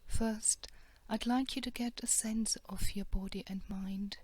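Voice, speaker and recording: A woman guiding a body scan meditation in a soft, hushed, measured way with long pauses. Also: mid-range voice, steady tone, slightly rough, no room sound. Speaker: meditation guide.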